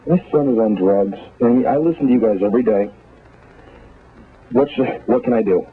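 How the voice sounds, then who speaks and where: A man's voice: on the low side, moderate pace, husky. A man phoning in to a radio call-in show, heard over a phone line. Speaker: radio call-in prank caller.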